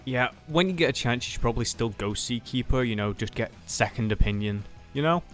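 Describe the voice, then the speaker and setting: A man's voice: medium pitch, animated, brisk, natural voice. A man playing a casual, wry card duelist in a Minecraft anime roleplay, thinking aloud in an offhand, hesitant way over music. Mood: casual, friendly. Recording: music behind the voice.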